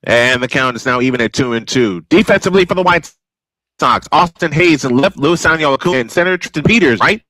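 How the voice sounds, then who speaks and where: Male baseball announcer, traditional play-by-play host style, medium pitch, dry studio sound. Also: animated, brisk, bright, forceful tone, no room sound, low-quality recording. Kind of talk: old-school baseball play-by-play commentary.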